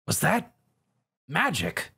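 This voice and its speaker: A man's voice: on the high side, wildly swooping pitch, slow pace, vocal fry, sharp tone, husky, full character voice. A man voicing an earnest armored hero, urgent and quippy, in a story. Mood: focused.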